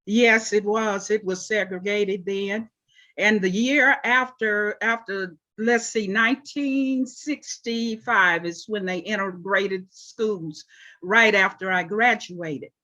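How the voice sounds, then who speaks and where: A woman in her seventies, originally from Oklahoma, answering interview questions about her life in an unhurried way with frequent pauses. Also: mid-range voice, sharp tone. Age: 70s. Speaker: interview guest, artist.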